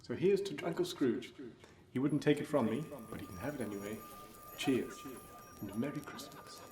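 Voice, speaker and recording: A man's voice: mid-range voice, expressive, moderate pace, warm tone, soft background music, close to an everyday voice. Man as a genial nephew, off-mic. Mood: genial, forgiving. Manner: genial, playful, chatty. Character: Scrooge's good-humoured, forgiving nephew at Christmas party. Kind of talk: period literary drama acting. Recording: distant mic, faint background noise.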